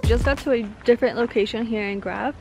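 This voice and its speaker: A woman's voice: fairly high pitch, fast, gravelly, loud music behind the voice. Chatty young woman vlogging on a camera. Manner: chatty, upbeat, easily delighted. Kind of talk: casual vlog narration. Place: indoor shopping mall and outdoor street. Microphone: handheld compact camera at arm's length. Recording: noisy mall and street ambience.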